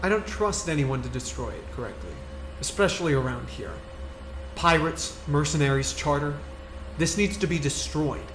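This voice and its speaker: An actor playing a stubborn young inventor who speaks urgently and insistently. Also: male voice, fairly high pitch, moderate pace, music in the background, lightly acted. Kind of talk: fan audio drama acting.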